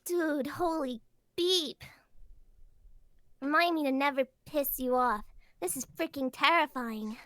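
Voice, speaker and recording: A woman plays an exasperated anime teenager in a comic dub, high in pitch and unhurried. The character grumbles bluntly in slang, recorded dry. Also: fairly bright tone, no echo. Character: exasperated, slangy teenager stuck in wrong body.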